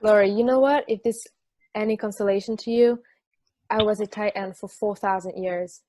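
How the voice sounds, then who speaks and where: A woman's voice: medium pitch, fairly even intonation, normal speed, background noise, close to an everyday voice. A woman playing a sassy, bossy former football player in an amateur table read, blunt and emphatic. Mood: dryly protective.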